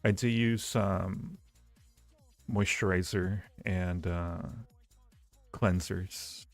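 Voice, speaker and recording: Male streamer, deep voice, casual and flirty chat, roomy sound with faint music underneath. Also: steady tone, extremely slow. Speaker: livestream host. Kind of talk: casual livestream chat with viewers.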